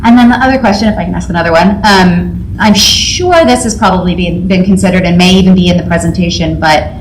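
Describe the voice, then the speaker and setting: A woman's voice: on the low side, quick pace. Woman, public-comment speaker, hesitant and rambling, roomy hall sound. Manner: hesitant, many fillers and restarts. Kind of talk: public comment at a school board meeting. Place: meeting room. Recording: roomy meeting hall audio, faint noise.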